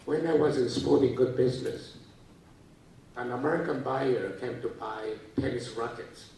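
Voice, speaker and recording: An older man from Taiwan with a Taiwanese accent, giving a halting lecture to students with many fillers and restarts. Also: medium pitch, darkish tone, a little hiss or hum. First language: Japanese. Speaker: guest speaker. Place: large lecture hall. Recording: echoey hall, voice from a distance.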